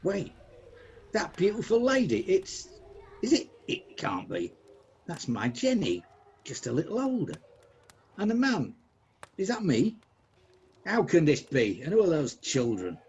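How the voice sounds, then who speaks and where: Man acting a bitter, animated old miser. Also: fairly high pitch, unhurried, long pauses, exaggerated voice. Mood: bewildered. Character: bitter, regretful old miser, haunted and pleading. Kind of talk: amateur radio play acting. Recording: dull home video-call recording, background noise.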